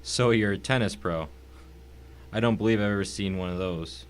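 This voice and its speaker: Man playing a deep-voiced, urbane, menacing villain. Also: normal speed, slight background noise, natural voice. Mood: smug, cool. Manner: urbane, formal, mock-courteous. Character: calm, wealthy, menacing husband, cultured villain. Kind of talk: dramatic audio reading of a short story.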